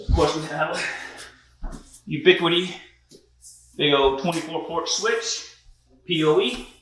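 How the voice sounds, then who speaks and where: A man's voice: a little high, takes it slowly, fairly bright tone, slight background noise. A middle-aged man giving a casual, folksy walkthrough as an installer on a job site. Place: large unfinished house interior, hard floors. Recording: echoey empty rooms with long reverb.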